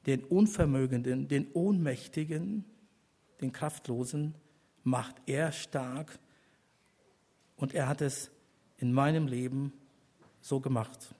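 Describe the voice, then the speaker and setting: An older man, a church elder, giving an earnest personal testimony as a sermon, speaking deliberately with pauses and rising to emphatic appeals to the congregation. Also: medium pitch, mellow.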